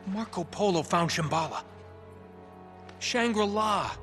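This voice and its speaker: An American actor playing a cocky, wry treasure hunter in a casual, conversational way for a video game cutscene. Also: male voice, high-pitched, measured pace, fairly bright tone. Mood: excited. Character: cocky, wisecracking male treasure hunter, adaptable and improvising. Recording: cinematic music behind the voice.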